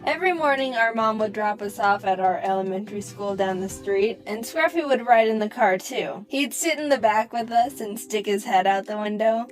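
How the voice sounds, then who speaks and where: Young woman, higher-pitched voice, scripted cartoon storytelling with wry, casual delivery, music underneath. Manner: animated, wry comedic asides, casual slang. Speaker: animated storyteller, narrator.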